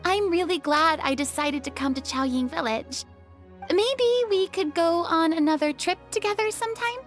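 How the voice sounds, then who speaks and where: A woman's voice: very high-pitched, moderate pace, soft background music. A woman voicing a friendly, candid, sociable young woman in a video game. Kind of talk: game voice acting.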